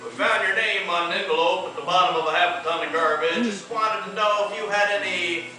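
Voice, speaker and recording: A young man spins a comic tale in a relaxed, deadpan drawl, reeling off long lists as he strums an acoustic guitar. His voice sits high and carries through a live theater. The sound is distant and roomy, caught by a camera far from the stage. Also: fairly quick. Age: young adult. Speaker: student performer. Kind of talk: talking-blues storytelling over guitar.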